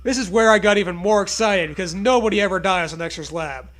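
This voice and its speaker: Young man, high-pitched, bright voice, comedic read-aloud, casual, jokey, irreverent podcast host. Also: quick pace. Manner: jokey, irreverent, laughs often. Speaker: podcast host reading bad fan fiction.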